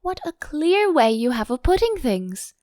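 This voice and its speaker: A woman's voice: high-pitched, sing-song, unhurried, darkish tone, no room sound, clean studio sound, pitched above the performer's own voice. A woman voicing an overbearing, affectionate older Duchess in a storybook, effusive and sententious, drawing out her phrases. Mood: pleased, agreeable.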